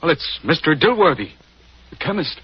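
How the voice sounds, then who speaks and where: An actor playing an earnest, agitated village police constable with a rural English accent, in 1940s radio drama style. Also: male voice, a little high, sing-song, moderate pace, breathy edge, dry recording, heavily acted. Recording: muffled 1940s radio broadcast.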